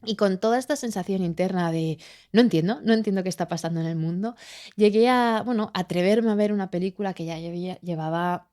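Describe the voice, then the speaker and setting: Warm-voiced woman hosting a reflective podcast. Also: mid-range voice, fairly quick. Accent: Spain Spanish. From Chile. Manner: searching, hesitant, many fillers and restarts. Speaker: podcast host. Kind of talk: reflective solo podcast monologue.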